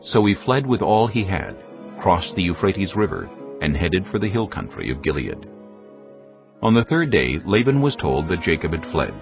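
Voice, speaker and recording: Very deep male audiobook narrator. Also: sing-song, moderate pace, creaky. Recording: dull studio recording with music.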